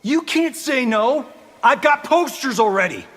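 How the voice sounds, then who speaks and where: Cocky high-pitched wisecracker. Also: male voice, expressive, unhurried, fairly bright tone, a little hiss or hum, exaggerated voice. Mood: flippant. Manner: flippant, sarcastic, theatrical. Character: cocky, wisecracking, vain movie-star fighter. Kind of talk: fighting game character banter.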